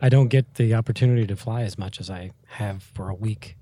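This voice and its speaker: A man's voice: lowish voice, brisk, mellow. A man co-hosting a podcast, musing in casual chat with thoughtful, winding sentences. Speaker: podcast co-host.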